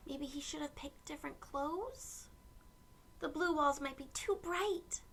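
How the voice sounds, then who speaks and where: Slow, high-voiced woman reading to children. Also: a little hiss or hum. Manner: gentle, simple explanations addressed to children. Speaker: children's read-aloud host. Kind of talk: storybook read-aloud for young children.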